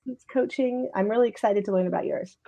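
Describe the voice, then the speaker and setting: A woman's voice: mid-range voice, fast talker, vocal fry, muffled recording. A woman host chatting casually with a guest on a video call, friendly and talkative.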